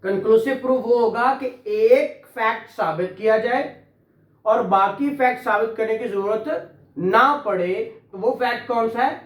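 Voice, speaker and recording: A man's voice: very high voice, unhurried, a bit bright. A man with a Pakistani Punjabi accent lecturing on law to a class, repeating key phrases and prompting students with questions. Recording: echoey, reverberant room.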